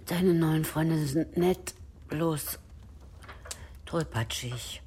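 An actress playing a tipsy, brittle and unhappy wife in a radio drama, wistful and sometimes dropping to a whisper. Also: female voice, deep voice, very slow, dark tone, a little hiss or hum. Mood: quietly disappointed. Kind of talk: German radio drama acting.

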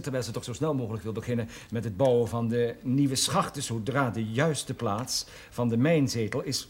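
An actor playing a businesslike, persuasive man in a Dutch period television drama, speaking formally and with measured care. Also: medium pitch, darkish tone, husky. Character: businesslike, persuasive man promoting a mining land deal.

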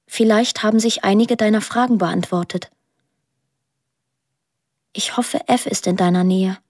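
A woman playing a lonely, dreamy twelve-year-old girl in a quiet, poetic radio drama monologue. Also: medium pitch, unhurried, no room sound, studio quality, natural voice. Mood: tender, lonely.

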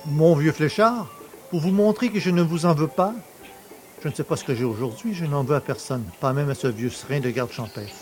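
An actor playing a jovial, boastful country poacher in a French audio drama, chummy, cheeky and colloquial. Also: male voice, on the high side, fairly quick, a little mellow, soft background music, close to an everyday voice. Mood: cheerful, familiar. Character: jovial, boastful, familiar rural poacher just freed.

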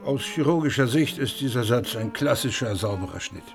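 An actor playing a blunt old surgeon returned from the dead, gruff and direct. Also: male voice, mid-range voice, expressive, moderate pace, music in the background. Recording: noisy background ambience.

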